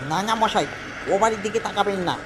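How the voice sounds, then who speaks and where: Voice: mid-range voice, fairly quick, noisy background, lightly acted. An irritable village man, acted out by a storyteller, warns people off with agitated insistence. The delivery is uneasy and dismissive, in a horror audiobook style with music underneath. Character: irritable, uneasy village man warning strangers off a haunted house. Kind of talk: dramatised story reading.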